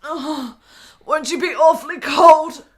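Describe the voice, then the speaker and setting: A woman playing a frail, gravely ill, lovesick woman in an 1870s Italian radio play, tender and emotional. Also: high-pitched, fairly even intonation, slow. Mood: weak, tender.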